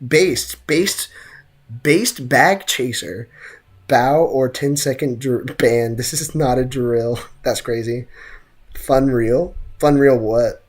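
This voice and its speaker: A man's voice: medium pitch, takes it slowly. A young man giving casual, slangy game commentary and joking with his livestream chat. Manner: slangy, jokey, theatrical outbursts.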